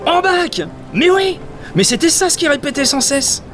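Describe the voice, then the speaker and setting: A man's voice: very high-pitched, lively intonation, moderate pace, a bit bright. A man playing a curious, uneasy dockworker in an audio drama, wondering aloud to himself. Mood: excited. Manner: talks to himself, exclamatory and wondering. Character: curious, uneasy male dockworker exploring a ghost ship. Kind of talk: audio fiction acting.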